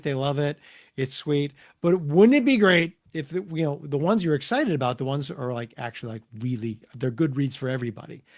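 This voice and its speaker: A middle-aged man telling stories casually as an author guest in an interview, animated and prone to acting out dialogue. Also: mid-range voice. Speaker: guest, comics and children's book author.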